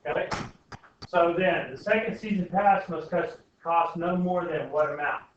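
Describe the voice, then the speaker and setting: A man's voice: fairly high pitch, moderate pace. A man teaching a class informally, often checking in with questions. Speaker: algebra teacher. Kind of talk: classroom lesson, thinking aloud with questions to students. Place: indoor classroom. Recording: very echoey classroom, distant mic, muffled, faint noise.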